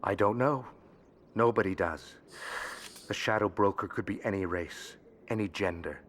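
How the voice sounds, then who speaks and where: A cautious information dealer in a video game played by a male actor. His voice is on the low side, and he talks in a measured, knowing, guarded manner. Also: a bit bright, slight background noise, lightly acted.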